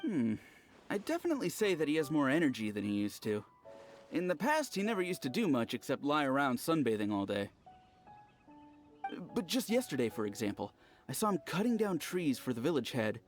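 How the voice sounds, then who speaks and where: A man's voice: fairly high pitch, fairly quick, faint music behind. A man playing a chatty, easily impressed villager in a video game, telling things with relish. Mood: excited, gossipy. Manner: animated, storytelling.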